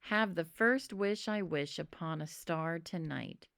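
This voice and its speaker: A woman voicing an anxious, eager young boy in an expressive children's storybook reading. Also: low-pitched, slow, creaky, dry recording, studio quality, exaggerated voice. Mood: hushed, hopeful. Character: Alvin, anxious, eager young boy narrating his misadventures. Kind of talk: children's storybook read-aloud.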